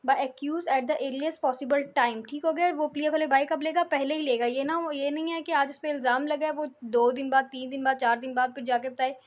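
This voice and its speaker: A woman with a distinctly high voice and a Pakistani accent teaches a lesson. She reads out English phrases slowly and carefully, then explains them more quickly, often rounding off a point with a brief check that it is understood. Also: fairly even intonation. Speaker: law lecturer. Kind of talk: instructional lecture, explaining and reading out notes.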